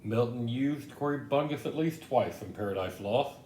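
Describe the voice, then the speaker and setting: A woman acting a touchy, eccentric man at a store counter, very deep in pitch and stubbornly insistent. It is comic stage dialogue in an echoey space with faint noise. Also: normal speed, mellow. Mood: grandly reciting. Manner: insistent, indignant. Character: stubborn, touchy, eccentric male bookstore customer. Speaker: actor in a student play. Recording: roomy echo, low rumble, faint noise.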